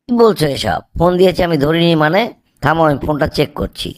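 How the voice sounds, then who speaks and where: A man acts out a young male student in a homemade cartoon. His voice sits a little high and he delivers his lines with open drama, in a dry, close recording. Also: animated, fast talker, no room sound, lightly acted. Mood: alarmed. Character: young male student, impatient, later remorseful son. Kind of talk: amateur cartoon dialogue.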